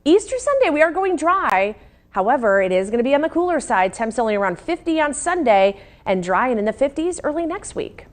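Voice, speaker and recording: An American woman presents live on a television newscast. Her voice sits on the high side, and she talks through forecasts and news items as a broadcast presenter. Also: big pitch swings, brisk.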